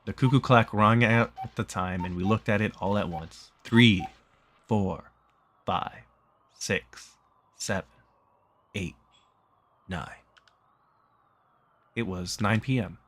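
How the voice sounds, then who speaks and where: A man with a fairly low voice narrates a visual novel. He reads the text aloud in a casual way, as a game streamer does. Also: slow pace, long pauses, fairly bright tone.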